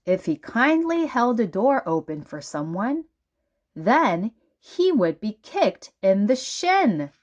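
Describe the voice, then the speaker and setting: A woman narrates a children's book aloud at a very slow, deliberate pace. Her intonation swings in an animated way, with dramatic emphasis on key words. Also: fairly high pitch, highs cut off. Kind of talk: storybook read-aloud narration.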